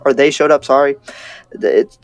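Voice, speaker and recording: A man playing a skeptical, cautious demon slayer in an improvised roleplay, speaking in a halting, incredulous way. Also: mid-range voice, soft background music, lightly acted. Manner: halting, incredulous, repeats himself. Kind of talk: improvised roleplay acting in casual speech.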